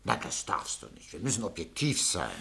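An actor playing a self-assured newspaper director in a radio play, husky and authoritative. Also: male voice, sits low, huge pitch swings, brisk, pushed and bright, full character voice. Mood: commanding. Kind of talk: 1950s radio play acting.

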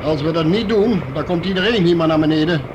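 A man's voice: a little high, fast talker, highs cut off, noisy background, natural voice. An actor playing a polite, talkative male guard at an underground station, courteous and deferential in conversational radio play style. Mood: chatty, resigned.

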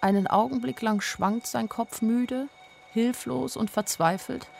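A woman's voice: a little high, a little slow, gravelly, slight background noise. An actress playing an anxious, observant young woman who narrates her own story wistfully in a literary radio drama. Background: music.

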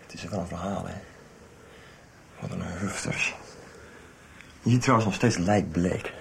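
A man's voice: sits low, measured pace, gravelly. An actor playing an easygoing, hospitable man in a radio soap, casual and matter-of-fact. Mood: easygoing, practical. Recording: background noise, room ambience.

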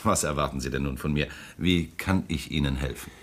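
A man's voice: extremely low pitch, brisk. A man playing a suave, amused prosecutor and politician in a radio play, ironic and self-assured, laughing often. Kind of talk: German radio play acting.

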